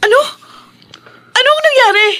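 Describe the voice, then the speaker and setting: An actress playing a devout, caring woman in a Filipino radio drama, speaking earnestly and deliberately. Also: extremely high pitch, pushed and bright, no echo. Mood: alarmed. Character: Sheila, devout caring woman urging forgiveness.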